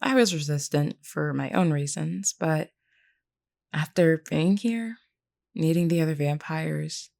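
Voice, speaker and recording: A woman playing a composed, kindly vampire queen in a scripted roleplay audio, speaking in a measured, formal, earnest and reassuring way. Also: low voice, gravelly, a little mellow. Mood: earnest, solemn. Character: composed, kindly vampire queen, solemn but caring leader.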